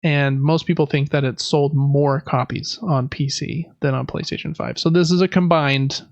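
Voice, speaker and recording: A man's voice: fairly high pitch, steady tone, moderate pace, muffled recording. A man hosting a podcast, casually recapping news in a conversational way with explanatory asides. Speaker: podcast host.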